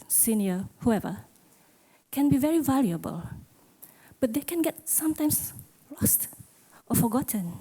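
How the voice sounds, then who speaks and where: Mellow-voiced woman giving a conference talk. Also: on the high side, low-quality recording. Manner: self-deprecating, asks the audience questions. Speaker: conference speaker. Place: conference hall.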